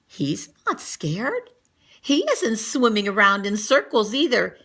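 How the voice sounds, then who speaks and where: Animated, bright-voiced woman reading a picture book. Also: mid-range voice, creaky. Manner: animated, acts out dialogue and comments on the pictures. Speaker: teacher reading a children's picture book aloud. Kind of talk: expressive read-aloud storytelling for young children.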